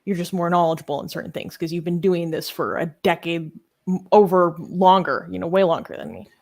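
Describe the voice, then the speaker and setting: A woman's voice: on the low side, steady tone, brisk, a little mellow. A young woman co-hosting a live Q&A chat, thinking aloud and hesitant, with many ums. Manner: thoughtful, hesitant, lots of ums and laughs. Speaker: co-host.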